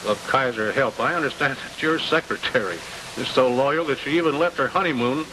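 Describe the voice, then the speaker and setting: Male, American, 1950s television interviewer, folksy wordy questions, mid-pitched voice, hissy noisy vintage recording. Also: fairly quick.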